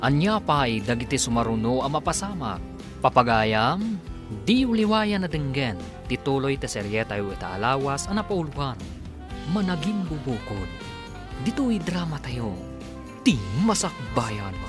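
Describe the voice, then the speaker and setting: A man announces a radio serial in dramatic scripted narration. His mid-pitched voice rises and falls widely over clear background music. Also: very animated, measured pace. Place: radio studio.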